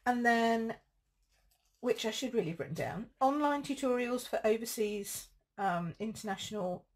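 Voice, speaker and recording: A woman with a croaky throat, chatting casually as she demonstrates card making at home. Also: mid-range voice. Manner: chatty, rambling, thinks aloud while crafting. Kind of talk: casual live craft demo.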